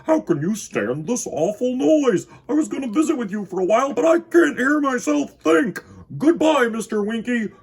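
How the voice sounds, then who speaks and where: A grumpy storybook gentleman, played by a man, loud and pitched high. He complains in indignant exclamations, thoroughly put out by noise. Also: very animated, normal speed, creaky, husky, full character voice. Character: grumpy, noise-hating male visitor in a storybook.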